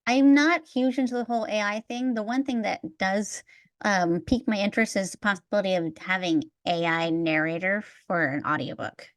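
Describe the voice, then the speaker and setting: A woman's voice: medium pitch, a little fast, no echo. A woman hosting a podcast, chatting casually and explaining things at length. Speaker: podcast host.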